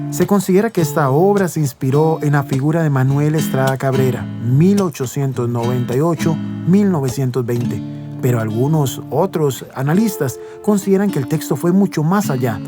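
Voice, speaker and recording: Male educational narrator over guitar music. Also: mid-range voice. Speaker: program narrator. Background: music, plucked guitar.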